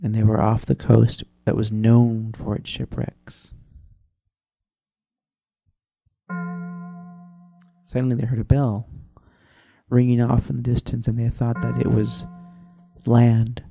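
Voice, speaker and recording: A man playing a salty old sea captain, telling a rambling, halting seafaring tale with dramatic pauses in an old-time radio adventure style. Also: mid-range voice, little pitch movement, creaky, mellow. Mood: storytelling. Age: older. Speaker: storyteller. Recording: voice over easy listening music. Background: easy listening soundtrack.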